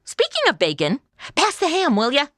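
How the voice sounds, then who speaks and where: A woman's voice: high-pitched, wildly swooping pitch, normal speed, fairly bright tone, no echo, studio quality, exaggerated voice. A woman playing a sarcastic, wisecracking modern young woman in an old west comedy audio drama. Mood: wry. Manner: sarcastic, quippy. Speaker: title character.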